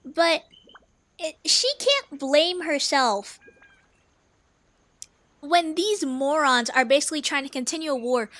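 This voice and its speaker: High-voiced, unhurried woman doing gameplay commentary. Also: sharp tone, no room sound. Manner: joking, halting, emphatic. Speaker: gaming video host. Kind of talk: casual, reactive let's play commentary. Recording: faint game music behind the voice.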